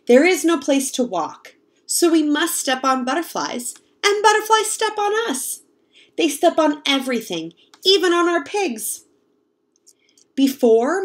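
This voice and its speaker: A young woman reading a picture book aloud to children in an expressive, explanatory way. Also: high voice. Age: young adult. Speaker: read-aloud storyteller, teacher. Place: home kitchen, indoors.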